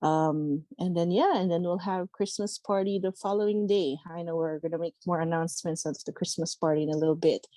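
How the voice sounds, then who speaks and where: A woman's voice: lowish voice, steady tone, brisk, hardly any pauses, warm tone, dry recording. A woman hosting an online community meeting, loose and chatty. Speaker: meeting host.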